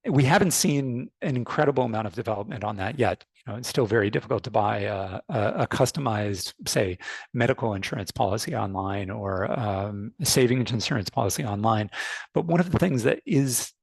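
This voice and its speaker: A man's voice: fairly low pitch, a little fast, vocal fry, slightly rough, dry recording. A man in his forties with a North American accent, giving a conversational webinar talk full of restarts and fillers. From North America, born in Canada, raised in the United States. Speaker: fintech speaker. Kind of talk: webinar presentation. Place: online call.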